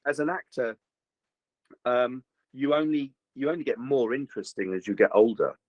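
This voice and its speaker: An older man, a voice actor chatting in an interview, slightly gruff, rambling with many fillers and restarts. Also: medium pitch, lively intonation, moderate pace, no room sound, highs cut off. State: slightly gruff after a recent virus. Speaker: voice actor guest. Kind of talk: casual interview chat.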